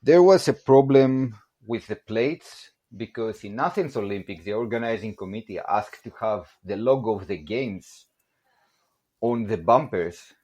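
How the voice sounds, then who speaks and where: A man's voice: lowish voice, expressive, a little slow, a little mellow. A man in his forties from Greece with a Greek accent, chatting as a podcast guest, joking and laughing a lot and saying "you know" often. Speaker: guest, barbell company production manager. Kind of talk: casual podcast storytelling.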